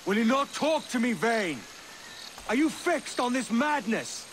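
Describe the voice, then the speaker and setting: An actor playing a blunt, defiant pirate captain in a video game, scolding and exasperated. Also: male voice, very high-pitched, big pitch swings, unhurried, background noise, exaggerated voice. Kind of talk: dramatic video game acting. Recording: music behind the voice.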